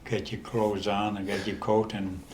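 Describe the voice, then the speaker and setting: A man in his eighties with a Dutch accent, telling stories in a casual interview, halting with many restarts and pauses. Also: on the low side, little pitch movement, reverberant, noisy background. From the Netherlands. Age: 80s. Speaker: interview guest.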